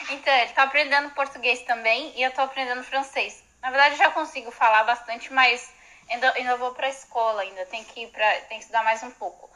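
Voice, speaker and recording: A woman's voice: fairly high pitch, fairly even intonation, a little fast, sharp tone, low-quality recording. A woman sending a chatty voice message to a podcast.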